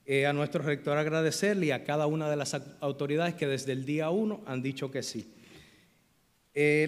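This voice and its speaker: A middle-aged man speaks earnestly at a lectern, with a somewhat high voice for a man. He thanks people in a formal speech, pausing often to search for words. His voice rings with the long reverb of a large auditorium. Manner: earnest, grateful, many hesitations. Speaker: event organizer giving a speech. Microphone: lectern microphone.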